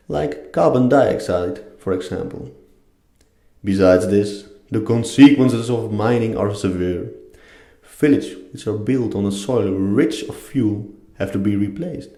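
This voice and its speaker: A man's voice: fairly low pitch, mellow. A man with a non-native English accent giving a scripted educational narration. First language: not English. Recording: echoey room.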